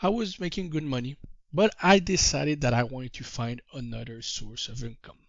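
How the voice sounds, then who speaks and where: French Canadian man, native French speaker, mid pitch, halting English, storytelling with refrains; dry, slightly dull audio. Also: steady tone, no echo. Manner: self-deprecating, halting English. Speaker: trading course presenter. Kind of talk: presentation to a group call.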